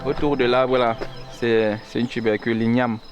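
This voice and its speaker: A man's voice: mid-range voice, level tone, moderate pace, music in the background. A man patiently explaining crops to visitors, recorded outdoors in a village field. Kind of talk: casual explaining.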